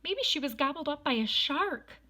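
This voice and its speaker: A woman voicing naive, dramatic, excitable schoolchildren, exclaiming wide-eyed in a storybook. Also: sits high, normal speed, voice pushed up. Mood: excited guessing. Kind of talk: children's storybook read-aloud.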